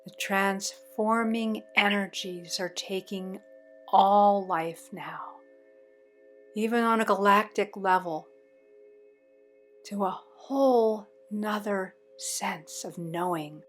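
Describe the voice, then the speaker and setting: A woman guides a meditation at an extremely slow pace, leaving space between short, measured phrases. Her voice sits at a middle pitch for a woman. Resonant singing bowl tones play behind her. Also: faint music behind.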